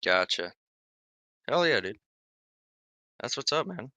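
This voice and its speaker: A man's voice: medium pitch, big pitch swings, slow pace, bright, forceful tone, no room sound. A man from the US East Coast chatting casually on a voice call before dawn, laid-back and swearing, with lots of fillers. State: up very early, tired. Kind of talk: casual voice chat.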